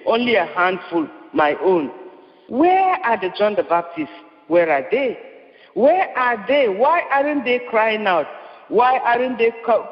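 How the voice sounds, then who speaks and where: A man delivering an impassioned prophetic sermon, repeating his lines with rising force. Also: high-pitched. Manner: impassioned, repetitive declamation. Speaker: preacher. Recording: muffled, reverberant hall.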